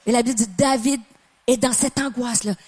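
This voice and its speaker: A woman's voice: on the high side, steady tone, brisk, darkish tone. A middle-aged woman from Canada with a Québécois French accent, preaching a sermon with passionate emphasis, building to exclamations.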